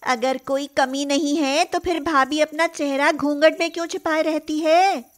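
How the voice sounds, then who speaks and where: A woman playing a sly, nosy young sister-in-law in an animated story, prying and insistent. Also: high voice, measured pace. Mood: suspicious.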